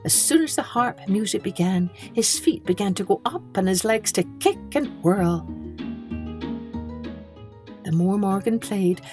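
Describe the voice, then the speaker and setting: Lady reading a kids story, really expressive and takes her time, faint music behind. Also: medium pitch. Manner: animated, dramatic emphasis on key words. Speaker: children's storytelling podcast host. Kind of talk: expressive storybook narration for children.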